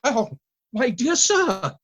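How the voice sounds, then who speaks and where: A woman playing a polite, grateful charity collector in a radio play, exclamatory and halting with astonishment. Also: fairly high pitch, sing-song, slight background noise. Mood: astonished, grateful.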